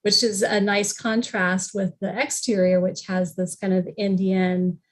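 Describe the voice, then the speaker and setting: A woman's voice: medium pitch, little pitch movement, unhurried. A woman on a panel informally explaining history as a library representative, with very frequent hesitations as she goes.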